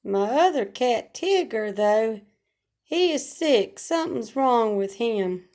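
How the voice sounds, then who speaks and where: Hoarse high-voiced woman, slow. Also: expressive, darkish tone. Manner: slow, homespun, rambling talk with regional grammar. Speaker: vlogger. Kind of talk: casual home vlog chat. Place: at home.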